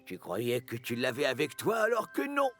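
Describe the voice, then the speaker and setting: A man's voice: mid-range voice, wildly swooping pitch, normal speed, fairly bright tone, soft background music. A man voicing a kindly, jolly old steam engine in a children's cartoon, reassuring and exclamatory. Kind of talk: dubbed children's cartoon dialogue.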